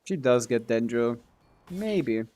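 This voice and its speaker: A man streaming a game live, with an average-pitched voice. He talks offhandedly, thinking aloud as he answers chat. His commentary is casual, with some loose swearing. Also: expressive, slow pace, darkish tone.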